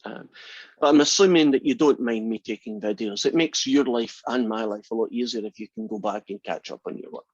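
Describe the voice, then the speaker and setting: A man's voice: medium pitch, brisk, a little mellow, no echo. A Scottish man in his sixties introduces his math course with plenty of teasing. His voice sits in an ordinary range and is picked up dry and close. The sound is a little muffled and lo-fi. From Scotland. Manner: teasing, joking. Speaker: math instructor. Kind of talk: class introduction. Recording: slightly dull lo-fi sound.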